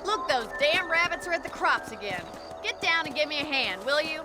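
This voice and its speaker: A spirited young frontier ranch woman in a Western game, speaking brisk, plain rural American Western. Her voice sits high and moves freely up and down as she teases. Music plays behind her. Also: animated. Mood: brisk, friendly. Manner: plain-spoken, teasing, brisk.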